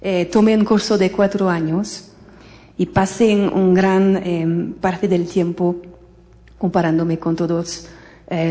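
Slow, hesitant woman in a reverberant hall. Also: fairly low pitch, dark tone. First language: English. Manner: hesitant, halting in a second language. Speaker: musician addressing a concert audience. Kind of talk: prepared remarks, partly read. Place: concert hall stage.

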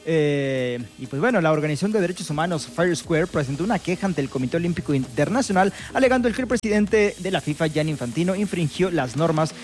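Joking Mexican radio co-host. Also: male voice, a little high, lively intonation, fast, few pauses, soft background music. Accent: Mexican Spanish. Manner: playful, joking, restarts often. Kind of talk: casual radio chat. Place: radio studio.

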